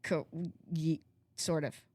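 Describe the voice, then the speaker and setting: A woman with a lowish voice talks casually, as a podcast co-host would when telling a story. The sound is dry and close, with very little room around it. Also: little pitch movement, slow pace, warm tone, no echo.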